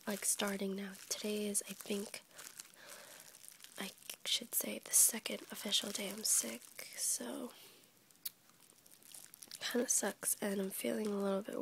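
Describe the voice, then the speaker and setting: A young woman with a cold, whispering softly as she rambles for an ASMR video, stuffy and a little congested. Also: mid-range voice, level tone, a little slow, creaky, long pauses, a little hiss or hum, rough recording. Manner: soft unvoiced whisper, rambling with fillers and small laughs. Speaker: ASMR YouTuber. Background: crinkling packaging.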